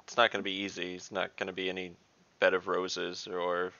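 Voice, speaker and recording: A man roleplaying in an online tabletop game, with a deadpan manner. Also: deep voice, brisk, vocal fry, heavy background noise. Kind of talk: in-character roleplay. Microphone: video call. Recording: dry lo-fi video call audio.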